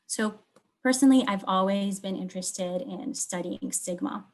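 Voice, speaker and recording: A woman from Lebanon giving an explanatory research talk, speaking in short, measured phrases and stressing key words. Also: medium pitch, a little mellow. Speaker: academic researcher presenting.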